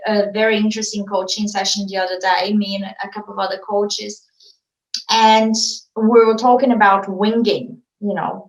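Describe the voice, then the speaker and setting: A woman, not a native English speaker, speaks frankly and firmly, handing out business advice with frequent restarts. Her voice sits at a middling pitch and carries a noticeable room echo. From immigrant living in Australia for ten years. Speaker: marketing agency founder, podcast guest. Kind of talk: podcast interview answers.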